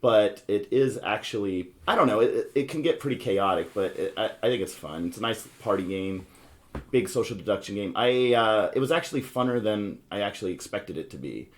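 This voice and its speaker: A man with a fairly low voice hosts a casual board game show. He talks in a loose, rambling way, often restating himself as he explains. The delivery is conversational and unscripted. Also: animated, brisk. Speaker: podcast host. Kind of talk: casual chat about board games.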